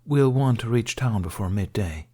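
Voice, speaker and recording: A man voicing a seasoned, dryly formal Jedi master with a measured manner in an audiobook. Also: fairly low pitch, expressive, dark tone. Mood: calm, assured. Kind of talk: audiobook character dialogue.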